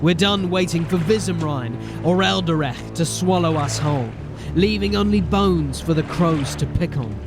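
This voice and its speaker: An actor playing a wry, resolute city watch sergeant in a fantasy audio drama. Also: male voice, fairly high pitch, normal speed, natural voice. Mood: grimly resolute. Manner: commanding, wry, grimly determined. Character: gruff, resolute male city watch sergeant turned captain. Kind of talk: audio drama acting and first-person narration. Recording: music behind the voice. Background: music.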